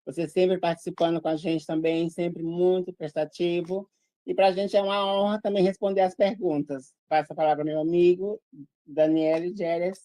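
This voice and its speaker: Low, mellow-voiced woman pageant coordinator. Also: flat delivery, moderate pace, no room sound. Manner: warm, repeats herself while searching for words. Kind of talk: interview answers. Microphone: video call.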